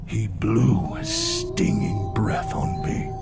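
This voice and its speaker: A man voicing a gigantic, gentle abominable snowman in an audio storybook, solemn and gravelly, with a halting, drawn-out delivery. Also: on the low side, huge pitch swings, loud music behind the voice, heavily acted. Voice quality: gravelly, creaky.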